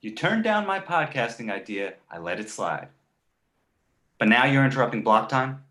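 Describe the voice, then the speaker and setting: A man voicing a cheeky, crude talking baby boy in an animated comedy table read, sarcastic and blunt. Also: medium pitch, normal speed. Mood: mocking. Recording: roomy echo.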